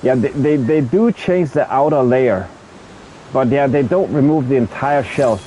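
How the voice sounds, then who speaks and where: A man's voice: mid-range voice, a little slow, warm tone, a little hiss or hum, low-quality recording. A man with a Danish accent, a native Danish speaker, chatting casually with viewers on a game livestream, stumbling and repeating words as he hunts for the right English term and swearing in frustration. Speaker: streamer.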